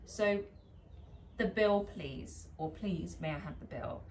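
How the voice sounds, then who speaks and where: A woman's voice: fairly low pitch, slow pace, slight background noise. A British woman chatting casually in a vlog, laughing at herself as she tries out foreign phrases. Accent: British English. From England. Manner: chatty, self-deprecating, trying out foreign phrases. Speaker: vlogger. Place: home garden.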